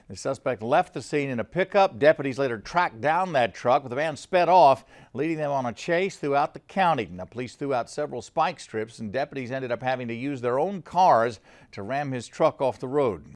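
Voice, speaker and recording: A man reading scripted television news as an anchor in a studio. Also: mid-range voice.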